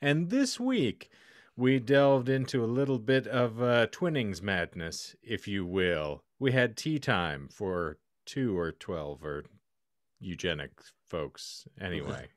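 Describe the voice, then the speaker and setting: A man's voice: fairly low pitch, lively intonation, slow pace, no echo, clean studio sound. A man co-hosting a podcast, talking in florid, wordy phrases with a joking, casual delivery. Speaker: podcast co-host. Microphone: close podcast microphone, video call.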